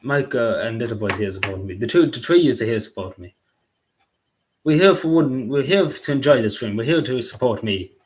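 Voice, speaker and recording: A young man from Derry in Northern Ireland chats loosely with viewers on his live stream. His Derry accent is strong, and his mid-pitched voice wanders from thought to thought. He stumbles, restarts and apologizes as he goes. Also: animated, darkish tone, slight background noise. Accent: Derry, Northern Irish. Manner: halting, rambling, apologetic, full of restarts. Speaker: livestreamer.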